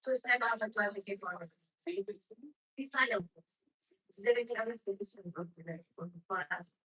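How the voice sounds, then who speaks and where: A woman's voice: medium pitch, moderate pace, sharp tone, husky, low-quality recording. A woman in the audience earnestly asking a question at a public meeting.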